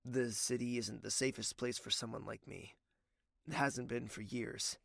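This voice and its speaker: A man's voice: mid-range voice, steady tone, unhurried, gravelly, fairly bright tone, no echo, clean studio sound. A man playing a tender, secretive young prince with a formal, hesitant way of speaking in a romantic audio drama. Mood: wistful. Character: soft-spoken young prince in love, secretive and wistful. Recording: music under the voice.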